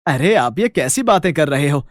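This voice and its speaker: A man plays a humble farmer and elder brother in an animated bedtime story. He speaks heartily and affectionately, with a forgiving temper, in a dry recording. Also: a little high, normal speed, no echo. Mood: welcoming. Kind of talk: animated moral story character dialogue.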